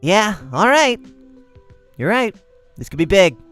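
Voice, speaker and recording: A man's voice: high voice, very animated, measured pace, fairly bright tone, clear, resonant tone, faint music behind, pitched above the performer's own voice, exaggerated voice. Man playing a brash, slangy young gamer guy. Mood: coming around. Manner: slangy, indignant, punchy.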